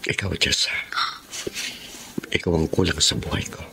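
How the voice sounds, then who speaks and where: A man's voice: low voice, fairly even intonation, normal speed, gravelly, in a whisper. An actor playing an earnest middle-aged man in love, delivered with heartfelt drama in a Filipino radio romance. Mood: wistful. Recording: loud dramatic music under the voice.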